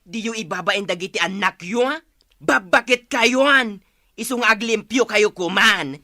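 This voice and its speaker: A woman's voice: medium pitch, a little slow, sharp tone, no room sound, clean studio sound. A woman playing a righteous, no-nonsense village woman in an Ilocano comedy radio drama, with an Ilocano accent. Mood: exasperated, scolding. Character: scolding, righteous village woman breaking up fights.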